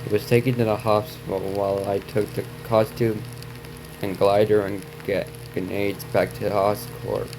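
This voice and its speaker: Low-voiced man reading haltingly through heavy noise. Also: level tone. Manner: halting reading, stumbling over words and repeating them. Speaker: reaction video host. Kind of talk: reading on-screen story text aloud with asides.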